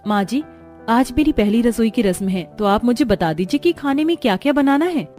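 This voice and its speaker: A woman acts out an excitable young bride from a cartoon tale. She sounds hopeful and eager, gushing in a high voice over a music bed. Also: moderate pace, close to an everyday voice. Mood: polite.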